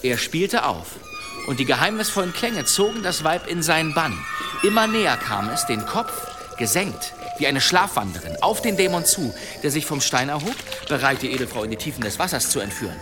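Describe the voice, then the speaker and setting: An actor playing a boastful monster hunter telling tales in an archaic, theatrical manner in an audio drama. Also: male voice, on the high side, sing-song, loud music behind the voice. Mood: suspenseful, gleeful. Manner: theatrical, dramatic pauses, savouring his tale. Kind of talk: German audio drama storytelling, archaic wording.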